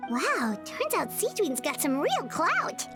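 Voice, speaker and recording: A woman's voice: sits high, wildly swooping pitch, slow pace, full character voice. A woman voicing a tiny, childlike, curious fairy companion in a video game, exclaiming chirpily. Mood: surprised, curious. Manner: refers to self in third person, exclaiming. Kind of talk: video game character dialogue. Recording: game music behind the voice.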